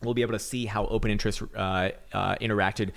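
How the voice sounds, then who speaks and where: A raspy-voiced man giving casual, rambling chart analysis, swearing now and then and full of fillers and restarts. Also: lowish voice, fairly even intonation, fast, fairly bright tone. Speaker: market analyst.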